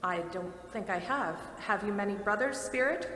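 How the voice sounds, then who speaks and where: A woman's voice: mid-range voice, moderate pace, fairly bright tone, close to an everyday voice. A woman voicing a humbled old male miser, speaking in earnest, formal pleading, recorded in an echoey hall. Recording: echoey hall, faint noise.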